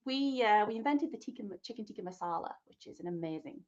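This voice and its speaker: A woman's voice: medium pitch, expressive, fast talker, darkish tone, dull audio. A woman from England, a podcast guest giving playful, opinionated rants in casual chat.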